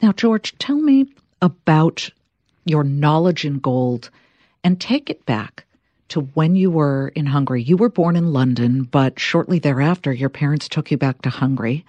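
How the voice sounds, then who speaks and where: A woman's voice: low-pitched, moderate pace, no echo, highs cut off. Curious woman interview host. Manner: lively, curious questioning. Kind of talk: interview hosting.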